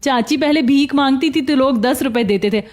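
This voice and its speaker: A woman acts out a young daughter-in-law from a Hindi cartoon story. Her voice is high and expressive, fretful yet cheeky. Music plays behind her. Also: moderate pace, lightly acted. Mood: cheeky, sarcastic. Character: young amnesiac daughter-in-law, former beggar, distressed but sharp-tongued.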